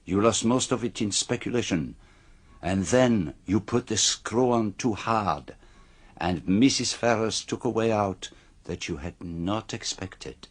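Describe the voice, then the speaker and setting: A man's voice: fairly low pitch, measured pace, husky. An actor playing a shrewd, courteous older detective in a radio drama, speaking precisely and with formal politeness as he accuses. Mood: accusing, firm.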